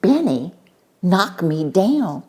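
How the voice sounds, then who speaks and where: A woman's voice: on the low side, very animated, slow, darkish tone, voice pushed up. A woman voicing a timid young boy lightning bug who is finding his courage, in an emphatic children's storybook read-aloud. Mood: upset, plaintive.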